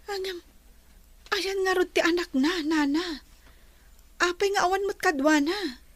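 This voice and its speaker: An actress plays a young female nursing student in a radio drama. Her voice is very high, and she sounds worried and hesitant as she talks things over. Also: measured pace, dry recording. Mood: troubled. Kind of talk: Ilocano radio drama acting.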